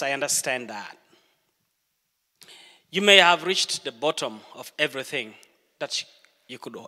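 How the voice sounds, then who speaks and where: A middle-aged man preaches in a church, his bright, ringing voice carrying a long hall reverb. He speaks in a fairly high register, telling stories earnestly and pausing deliberately between phrases. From Uganda. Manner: earnest storytelling with deliberate pauses. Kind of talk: sermon.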